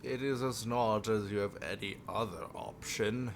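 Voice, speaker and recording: A man's voice: fairly low pitch, fairly even intonation, normal speed, background noise. A man livestreaming, reading a visual novel aloud with joking asides and stumbling over the text now and then. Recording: music playing behind the voice. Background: music.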